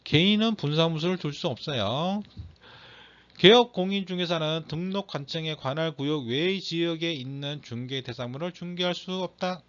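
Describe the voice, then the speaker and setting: A man's voice: on the high side, normal speed, a bit bright. Slightly strained male lecturer, muffled recording. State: slightly strained voice from throat trouble. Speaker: exam lecturer teaching real estate broker law. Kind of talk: lecture reading out quiz questions and explaining answers. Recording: dull, muffled lo-fi audio.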